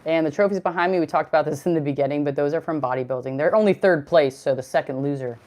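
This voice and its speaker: Deep-voiced young woman, casual fitness streamer, loose and talkative. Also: fast talker, a little mellow. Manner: chatty, rambling, reads chat comments aloud. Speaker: fitness livestream host. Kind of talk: casual livestream chat.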